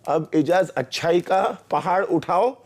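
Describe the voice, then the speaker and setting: A woman's voice: lowish voice, unhurried, a little mellow. A woman teasing and lecturing a housemate in casual talk. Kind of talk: casual conversation.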